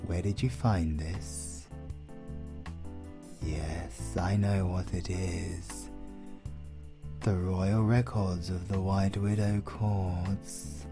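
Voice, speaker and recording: A British man playing an ancient, suave vampire lord in an intimate horror audio roleplay, menacing and flirtatious, with deliberate, teasing delivery that often drops to a whisper. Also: low voice, steady tone, over music, exaggerated voice. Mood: cool, probing.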